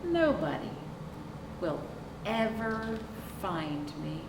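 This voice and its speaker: A middle-aged woman hosting a children's storytime, reading aloud with chatty asides, expressive drawn-out emphasis and questions to young listeners. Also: mid-range voice. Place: small indoor room, seated on a couch. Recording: roomy, distant mic with clear background noise.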